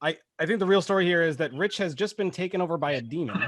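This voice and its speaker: A man with a high voice co-hosts a casual podcast, laughing often and restarting his phrases. The sound is dry and close, with no room echo. He talks over a video call. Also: lively intonation, quick pace. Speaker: podcast co-host. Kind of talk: casual chat.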